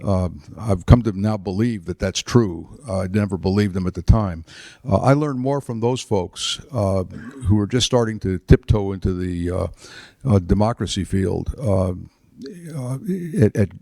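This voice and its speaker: A man in his seventies, a retired politician and author, tells stories about his life to an audience in a chapel, halting with fillers and restarts. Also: low voice, vocal fry, dark tone, dry recording, clean studio sound. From United States. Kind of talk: relaxed storytelling talk.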